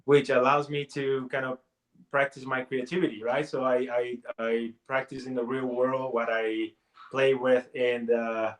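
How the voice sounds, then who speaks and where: A man's voice: medium pitch, flat delivery, measured pace, low-quality recording. A man in his forties from Puerto Rico, a native Spanish speaker, talking as a guest in a casual interview with many restarts and fillers. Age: 40s. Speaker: guest artist.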